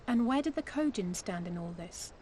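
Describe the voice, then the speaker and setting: A woman's voice: mid-range voice, animated, normal speed, mellow, a little hiss or hum, lightly acted. An actress playing an analytical woman adventurer in a video game, speaking in a formal, articulate way. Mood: questioning. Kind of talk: video game cutscene acting.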